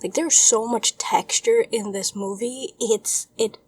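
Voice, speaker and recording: A woman's voice: a little high, unhurried, a little mellow, slight background noise. A woman in her twenties co-hosting a casual podcast, talking in an enthusiastic, rambling way. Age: 20s. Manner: enthusiastic, rambling, retells scenes with relish. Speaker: podcast co-host. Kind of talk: casual chat.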